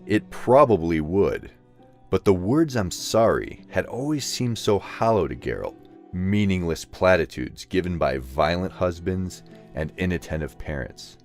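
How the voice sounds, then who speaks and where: A man's voice: sits low, animated, unhurried, darkish tone, faint music behind. A man narrating a scripted story as the narrator of an audio drama.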